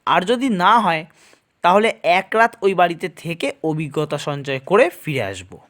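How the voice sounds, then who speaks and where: A man's voice: high voice, very animated, measured pace, fairly bright tone. A man narrating a scripted horror story in the first person, in a dramatic storytelling style.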